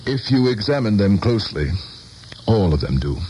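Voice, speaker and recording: Deep voiced disillusioned politician guy, formal and bookish, old radio play, bit of noise. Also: big pitch swings, normal speed, warm tone. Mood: wry, resigned. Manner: literate, eloquent, formal. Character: urbane, disillusioned teacher turned politician, courteous older man. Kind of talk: 1970s radio drama acting. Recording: faintly noisy old radio broadcast.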